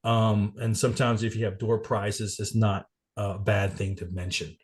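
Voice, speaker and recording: A man's voice: fairly low pitch, level tone, normal speed. A man getting over a cold, a little congested and coughing, giving a casual business presentation on a video call. He rambles with many fillers and restarts. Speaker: guest presenter at a networking meeting.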